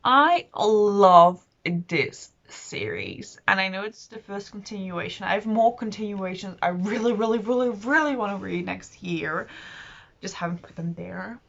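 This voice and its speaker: A woman with an average-pitched voice chats casually, as if to her viewers on a book vlog. She is enthusiastic and rambles, often poking fun at herself. The recording is a little dull and lo-fi. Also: lively intonation, a little slow, creaky, fairly bright tone. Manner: enthusiastic, rambling, self-deprecating. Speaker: book vlogger. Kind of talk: casual chatty monologue to camera.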